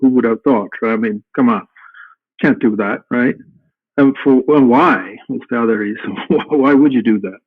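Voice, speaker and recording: A middle-aged man from Sweden with a Swedish accent, speaking English as a guest in an unhurried, halting way with many restarts. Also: medium pitch, no room sound. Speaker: guest, company founder. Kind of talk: casual interview answers. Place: home room at night. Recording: tinny phone-like call line.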